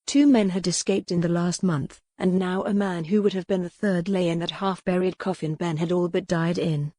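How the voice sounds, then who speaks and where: Mellow, low-pitched woman narrating an audiobook. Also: no room sound, studio quality. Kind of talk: scripted dramatic narration of historical fiction.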